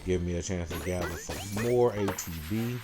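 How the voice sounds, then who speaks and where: A man with a deep, low-pitched voice talks through gameplay as a guide. His delivery is casual and procedural, calling out each move as he makes it. Prominent game music plays loudly behind him. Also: moderate pace. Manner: casual, step-by-step play-by-play. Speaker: gameplay guide creator and commentator. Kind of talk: live gameplay commentary, walkthrough.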